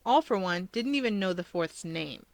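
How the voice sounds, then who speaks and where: A woman's voice: mid-range voice, expressive, normal speed, gravelly, no room sound. A woman reading scripted fiction as a story narrator.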